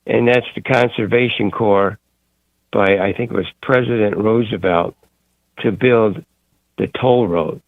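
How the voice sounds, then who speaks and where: A man calling in to a talk radio show, telling a long rambling story in a gruff way. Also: on the low side, measured pace, dry recording, phone-quality sound. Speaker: caller.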